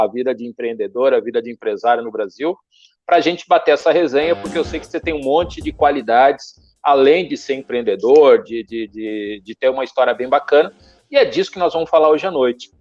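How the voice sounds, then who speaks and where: A man hosting a casual interview show, lively, his voice jumping up when he puts his questions. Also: no echo. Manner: lively, pitch jumps up when asking questions. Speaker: talk show host. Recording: dry, dull lo-fi sound.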